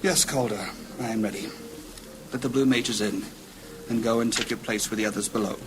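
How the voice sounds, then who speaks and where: A man's voice: lowish voice, fairly quick, background noise, natural voice. A man playing a grave, troubled mage leader in a fantasy audio drama, speaking in a formal, solemn, theatrical way. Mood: resolute. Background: music.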